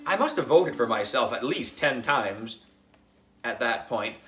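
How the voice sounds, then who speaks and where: A man narrating a children's story as a schoolboy, in an expressive, dramatic way. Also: medium pitch, unhurried, faint music behind. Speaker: audiobook reader, narrating as a schoolboy.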